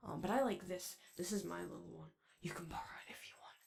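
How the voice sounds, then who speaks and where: A woman's voice: fairly low pitch, quick pace, gravelly, a crowd in the background. A woman playing a shy, chatty animatronic daycare attendant in a roleplay ASMR, rambling tentatively and trailing off. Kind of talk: roleplay ASMR, improvised-sounding casual chat.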